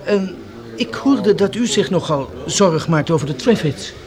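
A man's voice: high-pitched, expressive, a little slow. An actor playing a polite, inquisitive male reporter and official chronicler in a Dutch radio drama. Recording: busy crowd murmur behind.

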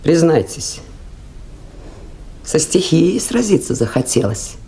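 A woman's voice: low-pitched, big pitch swings, takes it slowly, creaky, exaggerated voice. An actress playing a stubborn, plain-spoken river woman in a radio play, with a blunt, dry, defiant delivery. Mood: defiant, challenging. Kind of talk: 1980s radio play acting. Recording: faintly noisy 1980s radio drama recording.

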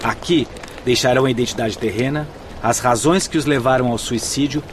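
A man's voice: mid-range voice, a little fast, a little hiss or hum, lightly acted. A man acting a firm, orderly official in a radio drama, giving formal, authoritative instructions. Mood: formal authority. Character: firm, orderly male official receiving new spirit arrivals.

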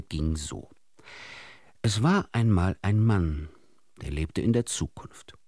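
A man narrating absurd short tales for a radio drama in a theatrical, expressive way. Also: low-pitched, no echo, studio quality. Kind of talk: scripted radio storytelling.

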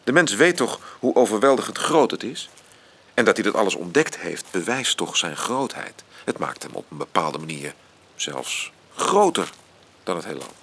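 An actor playing an impassioned, intense astronomer in a Dutch radio drama, speaking earnestly and expressively. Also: male voice, fairly low pitch, big pitch swings, moderate pace, gravelly, a bit bright, breathy edge, heavily acted. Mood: philosophical. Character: Max, impassioned, intense astronomer, loyal friend.

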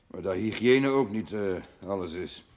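A man's voice: on the low side, animated, moderate pace, a little hiss or hum, lightly acted. A man acting a brusque village doctor in a period television drama, articulate, condescending and dryly witty. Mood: dryly jovial. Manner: dry, sardonic, articulate.

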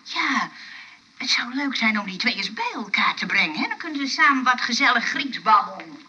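An actress playing a fussy, hospitable woman who runs a rest home, halting and chattering as she goes. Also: a little high, big pitch swings. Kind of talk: radio play acting. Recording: slightly noisy, distant radio play recording.

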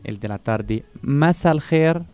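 A man's voice: a little high, expressive, measured pace, a little mellow, smooth, soft background music. A man from Palestine whose first language is Arabic, a teacher and radio guest who explains greetings patiently and repeats each word for emphasis. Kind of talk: radio interview, teaching words.

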